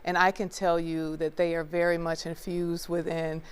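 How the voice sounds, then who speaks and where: Female panelist from Memphis, smooth resonant voice, lower pitch, reflective. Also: little pitch movement, normal speed. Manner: reflective, many fillers. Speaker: panel guest. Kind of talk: TV panel discussion.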